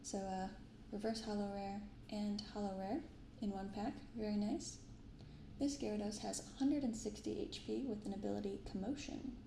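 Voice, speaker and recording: A soft-spoken woman hosting an ASMR unboxing, reading and commenting gently and without hurry. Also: medium pitch, steady tone, moderate pace, vocal fry, reverberant, a little hiss or hum, low-quality recording. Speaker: card unboxing video host. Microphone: binaural microphone close up.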